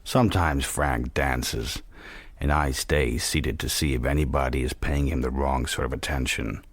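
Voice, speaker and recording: Very deep, reflective male narrator. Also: steady tone, dry recording, heavily acted. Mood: wry, content. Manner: introspective, measured, dry. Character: worldly, reflective, watchful male narrator-protagonist. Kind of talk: first-person radio drama narration.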